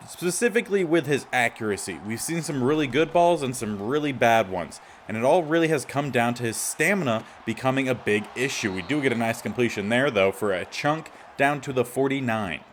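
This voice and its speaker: A man with a slightly raspy voice giving casual gameplay commentary as the host of a gaming series. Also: medium pitch, animated, moderate pace, slight background noise.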